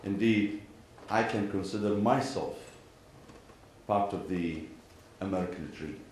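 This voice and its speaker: Man, Iraqi Arabic accent, very slow and measured, formal speech, heavily echoing hall, faint noise. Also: sits low, a little mellow. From Iraq and Kuwait. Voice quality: frequent throat clearing. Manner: deliberate, measured phrasing with pauses and repeated parallel sentences. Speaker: imam giving a speech. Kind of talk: formal public address. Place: large indoor venue, speaking to a gathering.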